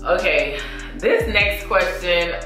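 A chatty woman, talking to her viewers and calling them y'all. Her voice sits a little low and rings around a very echoey room. Clear music plays underneath. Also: slow pace. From Louisiana. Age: 30s. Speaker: beauty vlogger. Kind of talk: casual chat while doing makeup. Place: small indoor room against a plain wall.

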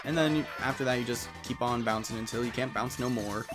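A man's voice: mid-range voice, fairly quick. Chatty man explaining a game. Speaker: let's play host. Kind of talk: casual gameplay commentary. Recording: game music behind.

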